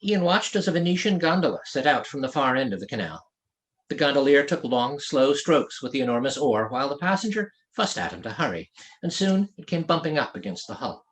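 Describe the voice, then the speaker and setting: Man reading a novel with lively pitch. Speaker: author reading his own novel. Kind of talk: scripted audiobook narration.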